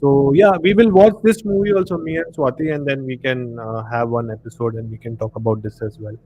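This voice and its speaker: A man's voice: medium pitch, steady tone, a little fast, muffled recording, faint music behind. A man with an Indian accent co-hosting a casual film discussion on a live stream, conversational and repeating himself for emphasis.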